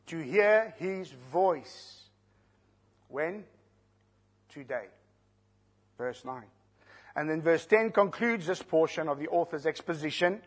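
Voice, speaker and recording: A man, an Afrikaans speaker, teaching a sermon verse by verse, slowing down to stress key words and putting questions to the congregation. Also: fairly high pitch, slightly rough. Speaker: preacher. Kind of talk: expository Bible teaching.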